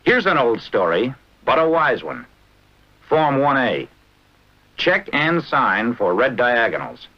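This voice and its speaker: An American man narrating a 1940s military training film in a brisk, instructive manner with clipped short phrases. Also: medium pitch, very animated. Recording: dull, band-limited vintage film soundtrack.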